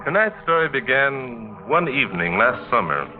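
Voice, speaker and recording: A man's voice: mid-range voice, very animated, normal speed. A man narrating a 1940s radio mystery as announcer, in a dramatic scripted style. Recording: muffled, thin 1940s radio recording.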